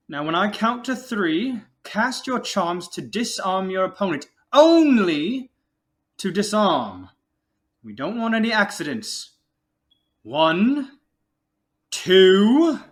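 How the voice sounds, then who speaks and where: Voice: mid-range voice, very animated, slow, pauses often. An actor in an audio drama playing a vain, showy male wizard professor with a pompous, theatrical, self-assured way of talking. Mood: commanding.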